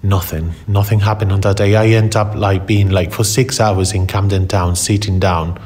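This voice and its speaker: A man from Spain with a Spanish accent, telling personal stories in conversation in a halting way, repeating words for emphasis. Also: low-pitched, fairly even intonation, darkish tone. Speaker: interview guest, photographer.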